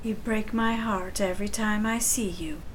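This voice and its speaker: A woman's voice: medium pitch, steady tone, moderate pace, slight background noise, natural voice, rough recording. An actress playing a curt, commanding female bootlegger boss in a 1930s noir audio drama. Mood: guarded. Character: tough, menacing female underworld bootlegger boss with grudge.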